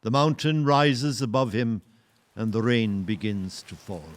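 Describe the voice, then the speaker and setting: A man narrating a historical audio play in a solemn, literary and measured way. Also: lowish voice, natural voice. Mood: grave. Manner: measured, poetic. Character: solemn male storyteller of a historical tale.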